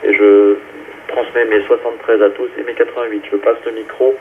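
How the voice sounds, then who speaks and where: A man's voice: lowish voice, little pitch movement, brisk. A man checking in and signing over as an amateur radio operator on two-way radio. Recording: tinny ham radio link, faint noise.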